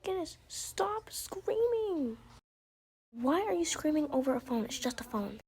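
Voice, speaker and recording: A woman's voice: high voice, big pitch swings, unhurried, darkish tone, voice pushed up, exaggerated voice. A woman voicing a soothing but easily exasperated mother in an amateur roleplay story.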